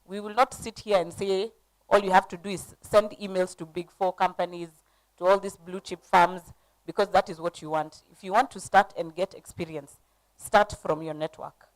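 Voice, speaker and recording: A woman's voice: lowish voice, fairly even intonation, moderate pace, poor audio. A woman speaking as a panelist at a conference, coaching the audience with questions.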